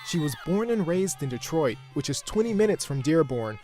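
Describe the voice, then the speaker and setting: A man narrates a news feature in a measured, informative voice. His pitch sits a little higher than most men's. Soft music plays underneath him. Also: sing-song.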